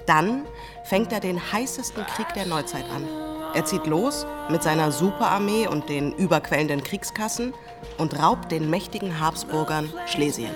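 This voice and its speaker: A cool female commentator in a scripted radio play. Her low voice is measured and wry, yet moves in lively melody over clear music.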